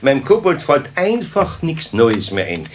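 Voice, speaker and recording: An actor playing a gruff but kindly older master carpenter in a children's radio play, homely and wry, with a Bavarian accent. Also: male voice, mid-range voice, huge pitch swings, a little slow, slight background noise. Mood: wry, teasing.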